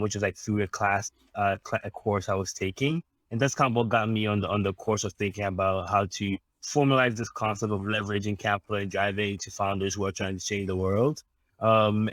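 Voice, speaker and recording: Low, flat-voiced man from Ghana. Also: no room sound. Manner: measured, explanatory, with frequent ums and restarts. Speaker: impact investor guest. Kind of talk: podcast interview answers.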